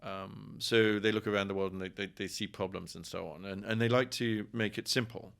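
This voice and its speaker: Low, creaky English man. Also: steady tone, fairly quick, fairly bright tone. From England. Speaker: professor, podcast guest. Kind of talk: conversational opinion interview.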